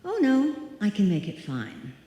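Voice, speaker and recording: A woman's voice: mid-range voice, huge pitch swings, a little slow, warm tone. Woman acting stubborn, brave-faced woman, swooping delivery, echoey hall. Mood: brisk bravado. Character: stubborn, proud single woman walking home at night, putting on bravery. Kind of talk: old-time radio suspense acting, performed live. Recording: echoey hall, music behind.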